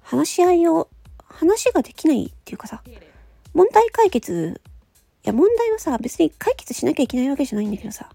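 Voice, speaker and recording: A woman's voice: high voice, sing-song, brisk, vocal fry, dark tone, no echo, faint music behind. A woman host chatting casually on her own, with a questioning, analytical way of talking. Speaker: host of a solo audio show, amateur voice actor. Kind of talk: casual solo chat.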